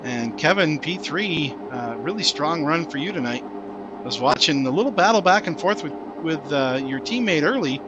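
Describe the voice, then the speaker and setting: A man's voice: fairly high pitch, expressive, normal speed, muffled recording, noisy background. A man hosting a sim-racing broadcast, interviewing drivers after the race in an easygoing way with fillers. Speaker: race commentator host.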